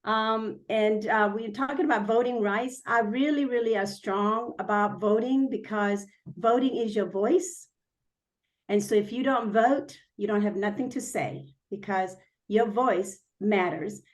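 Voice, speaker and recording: A woman's voice: medium pitch, measured pace. A woman from Korea, Korean her first language, a city councilwoman giving an earnest campaign speech with many fillers and restarts.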